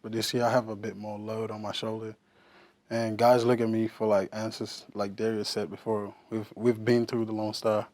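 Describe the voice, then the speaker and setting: A young man who grew up in Australia, a college basketball player answering interview questions haltingly, with many fillers. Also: lowish voice, level tone, darkish tone, no room sound. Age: young adult.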